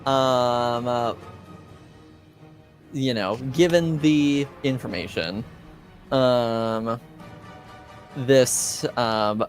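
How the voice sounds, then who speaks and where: Halting, slow-paced male game master. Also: medium pitch. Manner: hesitant, halting delivery. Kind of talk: improvised tabletop game narration.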